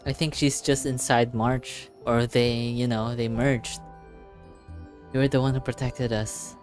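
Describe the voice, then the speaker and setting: A streamer giving casual live reaction commentary on a game, invested and excitable, muttering reactions. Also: mid-range voice, a little slow, soft background music.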